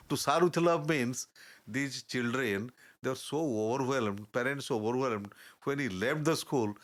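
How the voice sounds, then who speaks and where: Older man, mid-pitched, earnest guest who pauses often to find his words. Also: fairly bright tone. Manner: halting, searching for words, earnest and advising. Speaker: interview guest. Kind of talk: reflective storytelling in conversation.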